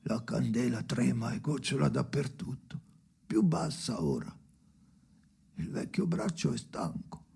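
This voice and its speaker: A tired haunted old man, super croaky, really slow broken phrases, arty radio play monologue. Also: medium pitch, sing-song, warm tone, a little hiss or hum, full character voice. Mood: bleak, weary. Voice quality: creaky, gravelly. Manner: halting, fragmentary phrases with heavy pauses. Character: weary, anguished old man, haunted storyteller alone by the sea. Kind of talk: experimental radio drama monologue.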